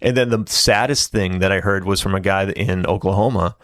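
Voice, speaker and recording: Lively storytelling man, low voice. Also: fairly quick, no room sound. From Central Massachusetts. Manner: animated storyteller, quoting people he met. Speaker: podcast regular. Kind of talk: casual chat.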